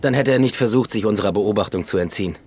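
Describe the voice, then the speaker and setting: An actor playing a brusque, impatient police inspector in old Berlin, curt and commanding, in a radio drama. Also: male voice, on the low side, racing, darkish tone, slight background noise, lightly acted. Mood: curt, determined. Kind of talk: modern German radio drama acting.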